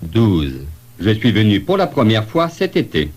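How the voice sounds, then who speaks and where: A man playing a polite traveller in a scripted language-lesson dialogue, giving deliberate answers. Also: lowish voice, huge pitch swings, darkish tone, slightly rough, heavily acted. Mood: polite, matter-of-fact.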